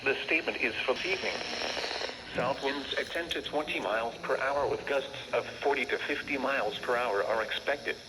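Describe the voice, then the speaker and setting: A man's voice: mid-range voice, normal speed, noisy background. A man reading a scripted weather announcement over a staticky radio broadcast.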